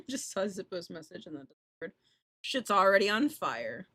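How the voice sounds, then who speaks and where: A woman hosting a casual game livestream, chatting bluntly and irreverently and reading on-screen text aloud in an animated way. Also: mid-range voice, moderate pace, slightly rough, no room sound, studio quality. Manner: foul-mouthed, irreverent, blunt. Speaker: live streamer hosting a visual novel playthrough.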